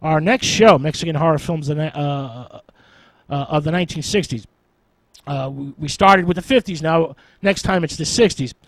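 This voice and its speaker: A man hosting a radio show, delivering scripted film history commentary in formal academic wording with halting, stumbling delivery. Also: fairly high pitch, fairly bright tone, dry recording, poor audio.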